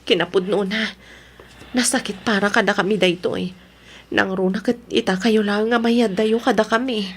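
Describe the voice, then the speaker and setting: A woman's voice: medium pitch, fairly even intonation, moderate pace, slightly rough, lightly acted. A woman playing a devout, caring middle-aged mother in the province, tenderly counseling in an Ilocano radio drama. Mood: tender, sorrowful.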